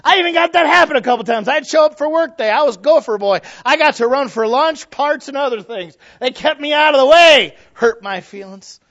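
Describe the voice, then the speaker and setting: A man's voice: very high-pitched, brisk, few pauses, fairly bright tone. A man preaching a sermon in a folksy, humorous way, with emphatic rises and drops. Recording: slightly dull lo-fi sound.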